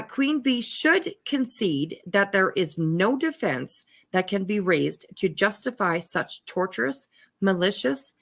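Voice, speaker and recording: A woman's voice: mid-range voice, fairly bright tone. A woman commenting casually and reading documents aloud, irreverent and giggly in manner. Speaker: livestream host.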